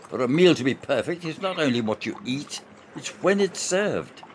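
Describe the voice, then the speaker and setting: A man playing a stubborn, gloating older officer in an amateur radio play, savoring his words with emphatic, drawn-out descriptions. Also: on the high side, lively intonation, a little hiss or hum, natural voice. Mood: needling. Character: stubborn, gloating older male soldier-officer relishing imagined food.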